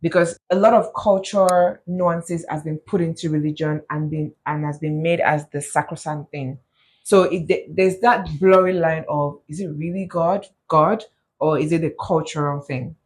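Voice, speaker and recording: A man's voice: a little high, steady tone, moderate pace. A man hosting an interview, asking probing questions and murmuring along as he listens. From Nigeria. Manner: asks probing questions, frequent soft listening sounds. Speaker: host.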